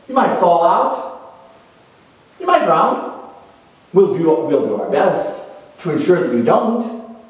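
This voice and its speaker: A man's voice: sits high, voice pushed up, heavily acted. A man playing an exuberant, reckless, boastful free spirit who refuses to grow up, rambling brashly in a solo dramatized monologue. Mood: exuberant, defiant. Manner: excitable, brash, rambling and unapologetic. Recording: echoey room, mic at a distance.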